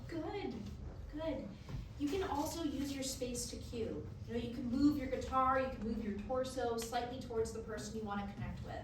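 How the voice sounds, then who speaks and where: A woman playing a brisk, encouraging music professor in a staged reading, giving instructions. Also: fairly high pitch, natural voice. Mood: brisk, coaching. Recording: very echoey room, far, noisy.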